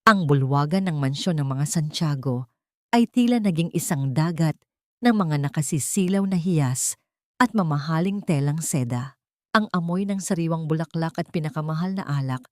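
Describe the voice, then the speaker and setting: A woman's voice: deep voice, moderate pace, a little mellow, no echo, clean studio sound. A woman narrator reading a scripted dramatic audio story in a solemn, emotive way.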